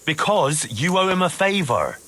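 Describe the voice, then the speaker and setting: An actor playing an earnest, principled young soldier who argues loyally and challengingly in a video game. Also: male voice, a little high, very animated, moderate pace, pushed and bright, exaggerated voice. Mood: reproachful. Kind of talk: dramatic video game dialogue.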